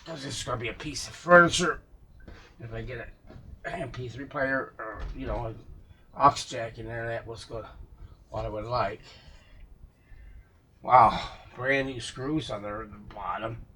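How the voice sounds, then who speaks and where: A man giving casual, unscripted commentary as he works on a vintage radio, musing aloud in a halting way to himself and his viewers. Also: mid-range voice, sharp tone, slightly rough, rough recording. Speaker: vintage radio restorer showing a repair.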